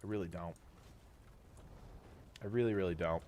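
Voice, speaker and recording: A thirty-year-old man whose voice is going hoarse and stuffy, streaming live and thanking his viewers for donations in a grateful, rambling way. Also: low voice, moderate pace, darkish tone. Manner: grateful, rambling, laughs often.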